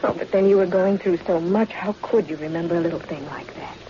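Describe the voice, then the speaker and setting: A woman's voice: on the low side, a little fast, close to an everyday voice. An actress playing a poised, dramatic leading lady in a 1940s radio espionage drama. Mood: gushing, sweet. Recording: muffled, noisy old radio recording.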